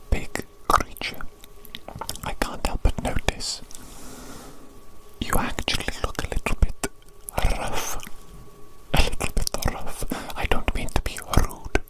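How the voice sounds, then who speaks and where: A woman whispering an ASMR roleplay as a doting evil doctor, soothing and often repeating soft phrases. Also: low-quality recording. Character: doting evil doctor tending their monstrous creation. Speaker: ASMR performer.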